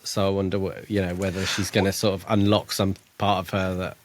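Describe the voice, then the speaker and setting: A man's voice: low-pitched, level tone, brisk, a little hiss or hum. A man co-hosting a podcast, musing about a book in a hesitant, thoughtful way. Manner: thoughtful, hesitant, trails off and restarts. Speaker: podcast co-host. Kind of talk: casual book discussion.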